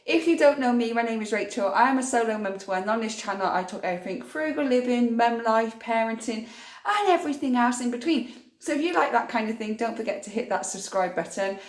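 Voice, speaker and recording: A woman, a little hoarse and croaky from a cough, chatting through her grocery haul in a homely, rambling way. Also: a little high, animated, brisk. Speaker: vlogger. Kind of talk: casual grocery haul vlog.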